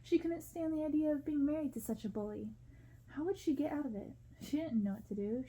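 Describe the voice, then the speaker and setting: A woman narrating a storybook in ASMR style, gentle, hushed and unhurried. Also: fairly high pitch, breathy edge, low-quality recording. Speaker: ASMR creator reading a novel.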